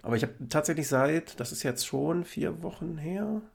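A man co-hosting a podcast, hoarse from a cold, chatting in a relaxed, hesitant way. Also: on the high side, normal speed. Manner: relaxed, hesitant, lots of fillers. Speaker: podcast co-host. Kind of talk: casual chat.

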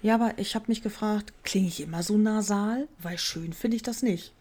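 A woman's voice: mid-range voice, moderate pace, a little mellow. A woman co-hosting a casual podcast, explaining things at length with many fillers. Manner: rambling, filler-heavy explanations. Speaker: podcast co-host. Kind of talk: casual chat.

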